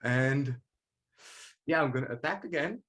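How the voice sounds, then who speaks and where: A man speaking casually and haltingly as a player in a tabletop game, working through his moves. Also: mid-range voice, expressive, a bit bright.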